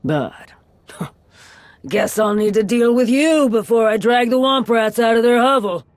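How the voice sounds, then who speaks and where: A woman's voice: on the high side, expressive, unhurried, no room sound. A woman playing a cocky mercenary bounty hunter in a video game, taunting and boastful. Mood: menacing, mocking.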